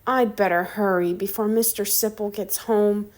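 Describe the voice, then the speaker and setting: A woman's voice: mid-range voice, fairly even intonation, normal speed, a little mellow, close to an everyday voice. A woman voicing an earnest, eager schoolboy character in a children's storybook. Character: earnest young boy aardvark, eager schoolkid.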